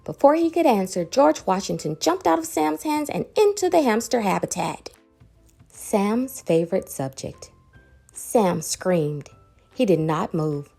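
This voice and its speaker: A woman reading a children's storybook aloud in an expressive, dramatic way with varied emphasis. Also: medium pitch, unhurried, vocal fry, soft background music. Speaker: children's book reader.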